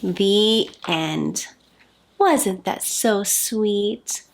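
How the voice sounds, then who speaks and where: A woman's voice: medium pitch, very slow, gravelly. A woman hosting a storytime for children, reading aloud expressively with sing-song emphasis.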